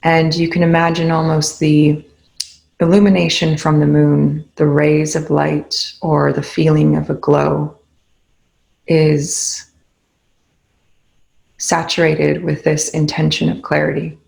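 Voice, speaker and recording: Female meditation leader: calm and unhurried, very little pitch movement, noticeable vocal fry. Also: low-quality recording. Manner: calm, unhurried, gently instructive. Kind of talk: guided meditation, slow spoken instruction.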